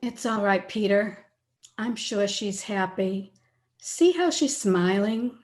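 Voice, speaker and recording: A woman playing an anxious, gentle grown daughter in a radio play, with a hesitant, worried manner. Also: medium pitch, expressive, slow pace. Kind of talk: old-time radio play acting.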